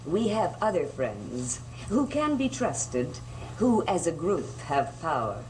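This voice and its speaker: A woman's voice: sits low, sing-song, slow, background noise, exaggerated voice. An actress playing a scheming, silkily motherly middle-aged witch in a gothic soap opera, coaxing and theatrical. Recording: distant old TV audio under loud music.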